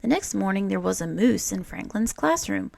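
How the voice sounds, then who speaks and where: A woman reads a picture book aloud for children. Her voice has heavy vocal fry and sits at a middle pitch. She tells the story expressively and deliberately, with very little room sound. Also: dry recording. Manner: animated, deliberate storytelling with acted character lines.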